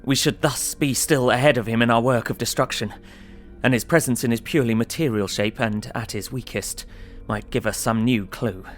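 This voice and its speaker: A man's voice: medium pitch, natural voice. An actor playing an earnest, anxious young solicitor, narrating his diary.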